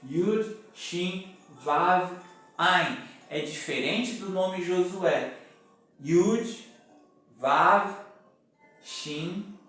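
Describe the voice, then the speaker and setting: Brazilian male preacher, high voice, unhurried teaching delivery; far from the mic in a very echoey room. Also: fairly bright tone. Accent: Brazilian Portuguese. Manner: deliberate, spells out letters one by one. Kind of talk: sermon teaching. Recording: echoey room, mic at a distance, faint music.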